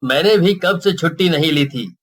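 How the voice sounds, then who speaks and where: A man's voice: a little high, moderate pace, close to an everyday voice. A man playing a cheerful, agreeable family father in a cartoon story. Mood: pleased.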